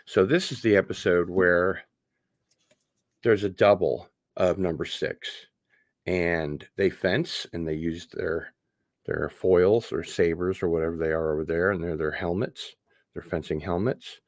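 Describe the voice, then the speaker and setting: A man's voice: low-pitched, fairly even intonation, unhurried, dry recording, clean studio sound. A man hosting a casual unboxing livestream, rambling along with wry asides. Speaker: host of an online show.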